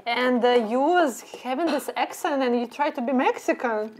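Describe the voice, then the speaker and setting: A woman's voice: sits high, moderate pace. Woman co-host speaking careful non-native English. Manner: non-native English grammar, hesitant, curious. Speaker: cooking show co-host. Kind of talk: casual cooking show banter. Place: home kitchen.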